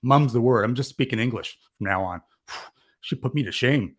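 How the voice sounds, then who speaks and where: A man's voice: medium pitch, normal speed. A man chatting casually as a live show host, with an enthusiastic, effusive way of talking.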